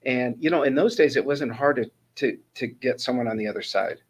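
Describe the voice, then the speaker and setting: A man's voice: mid-range voice, brisk. A man in his sixties from Northern California, a ministry mentor coordinator telling his life story as an interview guest, earnest and prone to restarting his sentences. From Northern California, USA. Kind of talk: casual interview talk, personal testimony.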